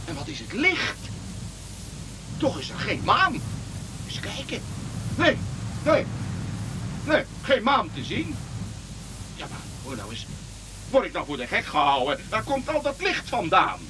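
High-voiced, bewildered old king. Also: wildly swooping pitch, measured pace, long pauses, noisy background, full character voice. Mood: bewildered wonder. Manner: theatrical, exclaiming and muttering to himself. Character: grumbling, bewildered old king roused from bed. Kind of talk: radio play acting.

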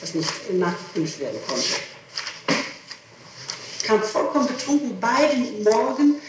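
A middle-aged woman answering questions in an interrogation, speaking haltingly, repeating herself and protesting. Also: fairly high pitch, sing-song. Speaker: suspect under interrogation. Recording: echoey, distant, noisy archival 1960s tape.

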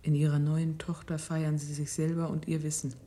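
A cunning enslaved woman schemes in a science fiction radio play, played by an actress. Her voice is low and mellow-dark, often slipping into breath. She speaks with cool contempt and deliberate, conspiratorial care. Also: little pitch movement, natural voice. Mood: scornful. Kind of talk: 1970s German radio drama acting.

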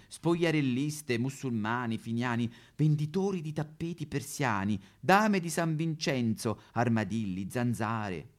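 An actor playing a dreamy, flamboyant son in a theatrical comic monologue, effusive and poetic. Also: male voice, lowish voice. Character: dreamy, flamboyant son confessing to his father.

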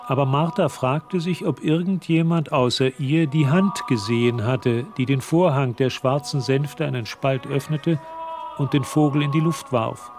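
A man's voice: medium pitch, unhurried, darkish tone, faint music behind, close to an everyday voice. A man reading scripted narration for a radio drama, telling the story as narrator. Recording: clear ambient noise behind voice.

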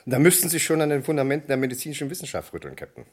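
An actor playing a self-assured, dry police doctor with a brisk, gravelly delivery in a vintage crime radio play. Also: male voice, mid-range voice, very animated, darkish tone. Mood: dry, certain.

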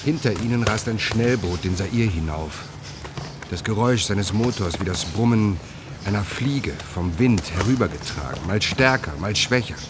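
An actor playing a reverent reporter, giving lyrical scripted narration in a radio drama. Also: male voice, fairly low pitch, normal speed, noisy background, close to an everyday voice. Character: reverent male reporter recounting a scene, lyrical.

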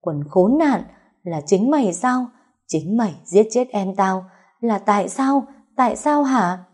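Woman acting a furious, husky older mother-in-law. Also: medium pitch, animated, a little slow, pitched above the performer's own voice. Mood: enraged. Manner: hissing, shouted repeated accusations.

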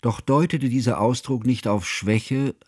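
An actor playing a grave, scholarly older man who narrates his composer friend's life in a radio play, solemn and measured in ornate literary phrases. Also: mid-range voice, darkish tone, husky, no echo, clean studio sound. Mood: solemn, precise.

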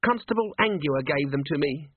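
A man's voice: a little high, expressive, moderate pace, breathy edge, no echo, pitched above the performer's own voice. A man voicing a nervous, polite young female dwarf constable. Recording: phone-like narrow band, dry.

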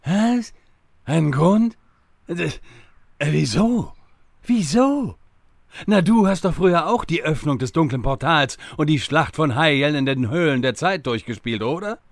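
An actor playing a bumbling, impatient time-travelling wizard who stammers and rambles in a comedic audio drama. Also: male voice, a little high, animated, measured pace, vocal fry, no echo. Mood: exasperated.

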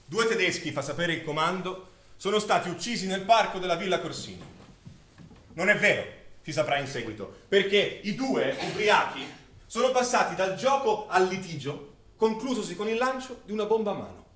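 Stage drama: grave, anguished wartime witness, higher-pitched, declaiming with deliberate pauses, distant in a roomy space. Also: male voice, big pitch swings, a bit bright. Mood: grave, tense. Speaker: stage actor narrating a play. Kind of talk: dramatic theatrical recitation of wartime testimony. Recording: very echoey, distant stage recording.

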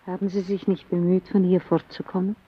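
An actress in a German radio play playing an earnest young lady visiting a lonely lighthouse, quiet and questioning. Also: lowish voice, flat delivery, fairly quick, breathy edge, dull audio.

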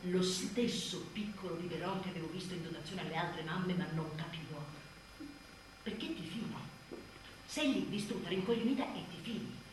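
A woman's voice: on the low side, normal speed, close to an everyday voice. An actress playing an overwhelmed new mother in a wry, emphatic theatrical monologue, with abrupt swings in volume. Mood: bitter, wounded. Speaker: stage actress performing a monologue. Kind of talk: theatrical comic-dramatic monologue. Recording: echoey room, distant mic, faint noise.

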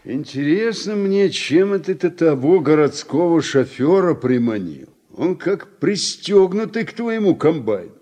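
A man acting in a Soviet radio drama as a steady, wry older farm worker, folksy and gently chiding. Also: on the high side, unhurried, hardly any pauses. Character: wry, steady older farmhand, mentor to the young.

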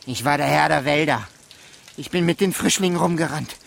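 An actor playing a creepy, self-justifying gamekeeper and killer in a radio drama, halting and lingering on words. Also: male voice, on the high side, vocal fry, a bit bright, slight background noise. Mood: obsessive, unrepentant.

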